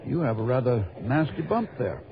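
An actor playing a kindly old doctor, soothing, fatherly and gently fussing, in an old radio drama. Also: medium pitch, sing-song, moderate pace, natural voice. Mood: kindly, reassuring. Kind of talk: 1950s-60s radio drama acting. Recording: noisy dull old radio recording.

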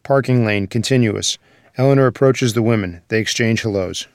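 A man's voice: fairly low pitch, moderate pace, dry recording, studio quality. A man narrating a screenplay's scene headings and action in scripted table-read style. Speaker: stage direction reader.

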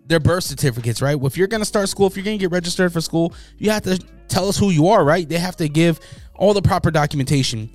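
A man giving casual, joking commentary on news stories, slangy and animated. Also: on the high side. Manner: animated, joking, slangy, mocking voices in quotes. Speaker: commentary host.

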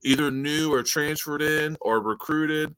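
A man co-hosting a casual sports podcast, laid-back and slangy, quick to agree. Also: fairly high pitch, fairly bright tone, clean tone, no echo, low-quality recording. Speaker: podcast co-host.

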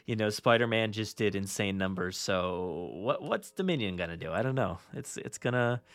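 A man hosting a podcast in a casual, rambling, halting way. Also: fairly low pitch, animated, a bit bright, no room sound. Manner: halting, repetitive, rambling delivery. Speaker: podcast host.

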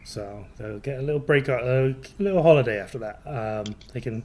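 A man co-hosting a casual football podcast, relaxed and chatty, laughing easily as he talks through the week's games. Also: mid-range voice, normal speed. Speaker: podcast co-host.

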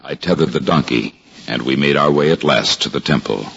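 A very deep-voiced, devout husband looks back on sacred days in a solemn, measured, formal manner. The sound is a dull 1950s radio drama recording with prominent music behind him. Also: male voice, gravelly. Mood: reflective, solemn.

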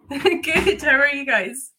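A woman's voice: high voice, expressive, slow pace, pushed and bright, poor audio. A woman from Scotland, now a whiskey maker, chatting in an interview over a video call, full of "like" fillers and laughter. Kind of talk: casual interview chat.

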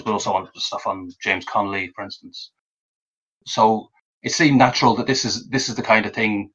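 A man's voice: fairly low pitch, sharp tone, lo-fi sound. A middle-aged man raised in London by Irish parents, with a mixed London and west of Ireland accent, talking in a hesitant, wry way with many restarts as an interview guest. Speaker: author and translator, guest. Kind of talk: casual interview talk.

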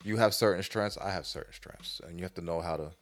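A man's voice: low voice, fast. A man co-hosting a casual advice podcast, talking colloquially and repeating himself to press his point. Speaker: podcast co-host giving advice. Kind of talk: casual banter and opinion.

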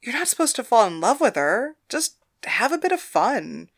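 A woman voicing a gentle, motherly friend. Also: a little high, very animated, moderate pace, voice pushed up. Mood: consoling. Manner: gentle and comforting.

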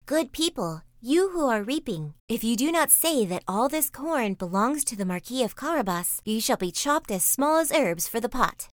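A woman voicing a cunning male cat from a fairy tale, with deliberate, persuasive delivery. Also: fairly high pitch, big pitch swings, no room sound, studio quality. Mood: threatening. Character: cunning male cat, bossy and flattering, storybook trickster.

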